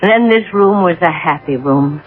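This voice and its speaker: A woman's voice: lowish voice, lively intonation, a little slow. An actress playing an earnest, uneasy middle-aged married woman in an American radio drama, intense and a little hesitant. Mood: earnest, coaxing. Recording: muffled phone-like old radio broadcast.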